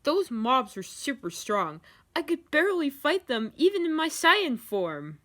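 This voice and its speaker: A woman plays a protective anime warrior in a story. The voice is raised and bright, earnest and steady in its explanations. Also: high-pitched, unhurried, voice pushed up, heavily acted.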